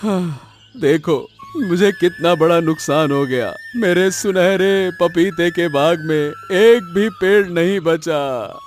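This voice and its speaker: Man acting a high, slow, plaintive cartoon farmer. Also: faint music behind. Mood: wailing despair. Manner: plaintive, drawn-out lamenting. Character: wailing, wronged papaya farmer, aggrieved and self-pitying. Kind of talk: Hindi cartoon story voice acting.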